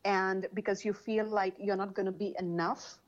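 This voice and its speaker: A woman who speaks English as a second language, chatting casually as a guest author, animated, laughing often and restarting her sentences. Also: mid-range voice, moderate pace, dull audio. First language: not English. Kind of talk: casual interview chat.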